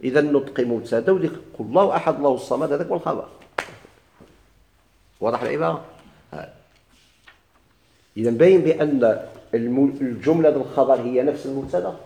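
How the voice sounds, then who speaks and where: Gravelly Moroccan man teaching grammar. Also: medium pitch, warm tone, a little hiss or hum. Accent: Moroccan Arabic. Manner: explains slowly, repeats and stretches key words, asks students questions. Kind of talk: recorded grammar lesson. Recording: echoey classroom recording.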